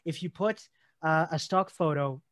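An earnest man co-hosts a podcast discussion, his voice sitting a little high for a man. He often stumbles and restarts mid-phrase while thinking aloud. The sound is dry and close, with no room echo. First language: not German. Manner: earnest, halting with many restarts. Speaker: podcast co-host.

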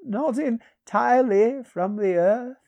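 A woman performs an eerie old sorcerer from a fantasy storybook, his voice lifted very high. He speaks slowly, with courtly, archaic grandeur and wry theatrical weight. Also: warm tone. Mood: anguished, bitter. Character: aristocratic ancient male sorcerer, long imprisoned, wry and eerie. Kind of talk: dramatised fantasy audiobook.

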